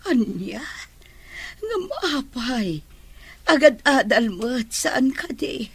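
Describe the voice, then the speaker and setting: A woman's voice: a little high, very animated, extremely slow, a bit bright, husky, no echo, exaggerated voice. A woman acting as an anxious, deferential housemaid in an Ilocano radio drama, speaking with an Ilocano accent and a respectful, worried manner. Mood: worried, shocked. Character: anxious, deferential housemaid fretting over Dorina. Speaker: voice actor in drama podcast.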